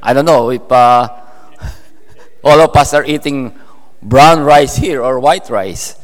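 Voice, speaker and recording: A man from the Philippines with a Filipino accent, preaching a sermon in a halting way, full of fillers and restarts. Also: mid-range voice. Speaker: pastor. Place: church hall. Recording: long hall reverb.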